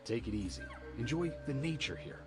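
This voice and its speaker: An actor playing a gruff, guardedly polite small-town sheriff in a video game. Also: male voice, medium pitch, lively intonation, brisk, natural voice. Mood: dismissive, guarded. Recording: loud music over the voice.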